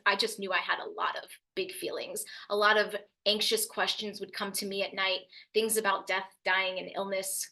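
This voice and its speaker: A young woman speaking as a youth mental health speaker, telling her personal story to an audience in an earnest, steady way. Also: medium pitch, creaky. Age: young adult. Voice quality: loud voice.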